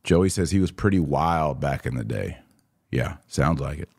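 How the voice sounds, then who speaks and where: An American man in his forties with a low voice and a dry, close sound. He talks as a podcast host on a livestream, conversational and direct with his audience. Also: lively intonation, normal speed, a little mellow, no room sound. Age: 40s. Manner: conversational, addresses listeners directly. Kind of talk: casual livestream commentary, answering viewer comments.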